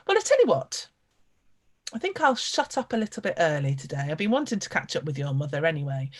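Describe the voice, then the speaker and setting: A woman storyteller voicing a shrewd, kindly village shopkeeper who talks briskly and teasingly. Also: sits low, big pitch swings.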